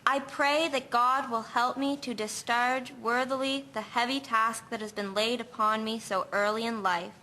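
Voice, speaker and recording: A woman's voice: on the high side, steady tone, moderate pace, hardly any pauses, bright, forceful tone, a little hiss or hum. A female actor playing a starry-eyed 1950s schoolgirl in an amateur audio drama. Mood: admiring, eager.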